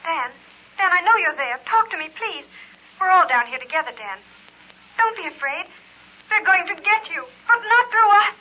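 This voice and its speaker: Desperate pleading girlfriend, very high sharp voice, muffled old radio horror. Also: animated, moderate pace, slight background noise, exaggerated voice. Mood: frantic pleading. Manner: urgent, imploring. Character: frantic, pleading sweetheart warning her man. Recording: muffled vintage radio recording, telephone-like.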